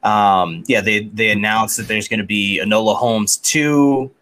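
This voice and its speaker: A man co-hosting a podcast in casual chat, with a loose, halting delivery that often repeats words. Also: on the low side, fairly bright tone. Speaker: podcast co-host. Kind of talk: casual chat, informal pop culture news rundown.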